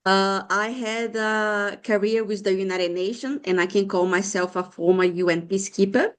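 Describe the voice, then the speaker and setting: A woman's voice: mid-range voice, fairly even intonation, normal speed, smooth. A woman with a Brazilian accent introducing herself as a lecturer on a video call, with frequent hesitations. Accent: Brazilian Portuguese. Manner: hesitant, searching for words. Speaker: lecturer and former peacekeeper.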